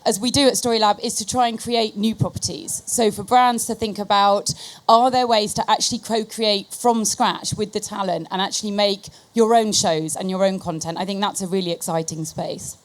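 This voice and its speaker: Female panellist, medium pitch, explanatory conversational delivery of a marketing professional. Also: steady tone, moderate pace.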